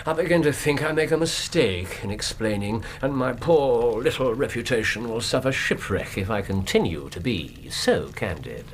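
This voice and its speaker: Radio detective: actor as British gentleman, swooping mid-range delivery, courteous, precise, sure of himself, faint noise behind. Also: mid-range voice, expressive, normal speed, hardly any pauses, lightly acted. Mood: self-assured, wry. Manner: theatrical, courteous, precise, self-assured. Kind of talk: radio drama acting.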